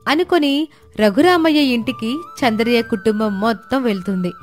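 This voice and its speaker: Casting: female storyteller, fairly high voice, scripted narration over faint music. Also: normal speed.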